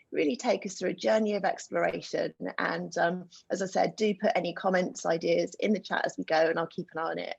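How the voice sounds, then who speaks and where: A woman hosts an online panel over a video call in a chatty, filler-heavy way. Her voice sits low and sounds husky with a gravelly edge. The audio is dull and lo-fi, like a muffled call connection. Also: no echo. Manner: warm, chatty, with frequent um fillers. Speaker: host and moderator.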